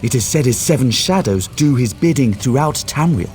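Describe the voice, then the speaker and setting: Man playing an anxious, lively scholar mage. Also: medium pitch, normal speed, faint music behind, close to an everyday voice. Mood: thoughtful, explanatory. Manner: formal, precise, articulate. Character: scholarly male mage and former priest, cautious, anxious. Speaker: quest-giving character. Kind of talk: video game dialogue.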